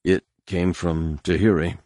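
A man voicing a young male pilot who answers quietly and apologetically. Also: sits low, flat delivery, measured pace, dry recording, clean studio sound. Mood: apologetic.

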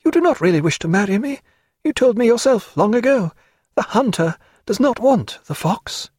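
A spirited young gentlewoman, acted by a male reader, pitched high and swooping widely. She speaks in formal period phrases, proud and imperious, full of feeling, as in a dramatised historical novel. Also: sing-song, brisk, husky, dry recording, clean studio sound, exaggerated voice. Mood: tearful pride. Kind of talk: dramatised audiobook character voice.

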